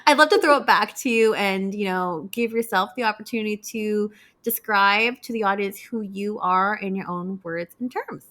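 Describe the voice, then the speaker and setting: A woman's voice: medium pitch, moderate pace, few pauses, fairly bright tone. A young woman hosting a podcast interview, chatty and bubbly, laughing often as she talks. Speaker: podcast host. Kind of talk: casual interview chat.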